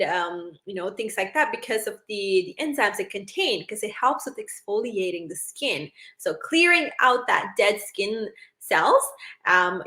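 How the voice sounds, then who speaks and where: A woman's voice: mid-range voice, pushed and bright, reverberant. A woman from Sri Lanka, a doctor, giving a casual talk on healthy foods, chatty, with plenty of fillers and repeated words.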